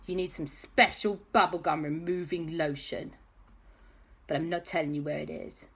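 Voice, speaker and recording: A woman's voice: low voice, fairly even intonation, normal speed, slightly rough. A woman voicing a haughty, whiny boy villain in a children's story, complaining theatrically. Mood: grudging, vengeful.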